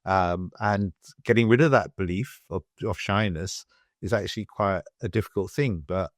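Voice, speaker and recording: A middle-aged British man hosting a podcast interview, soft-spoken and hesitant, with many fillers and restarts. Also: low-pitched, moderate pace, dry recording, clean studio sound. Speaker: podcast host. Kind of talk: casual interview chat.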